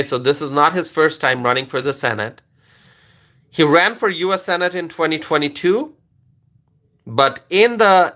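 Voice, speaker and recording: A man from Bangladesh with Bengali as his first language hosts a political live stream, his voice sitting a little high and ringing very bright. He drives his points home by repeating them, and he keeps checking in with his viewers. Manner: repetitive, emphatic, checks in with "okay?", answers chat questions. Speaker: livestream political commentator.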